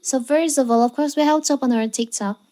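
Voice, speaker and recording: A woman's voice: sits high, quick pace, mellow. A woman hosting a how-to video, narrating step by step in a scripted tutorial style. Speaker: tutorial channel host.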